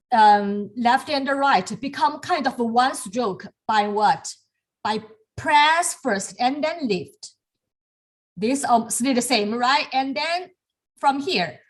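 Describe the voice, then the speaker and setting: Slow, halting woman teaching on a video call. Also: a little high. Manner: slow, halting, many fillers and restarts. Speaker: calligraphy teacher. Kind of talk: online class teaching with demos.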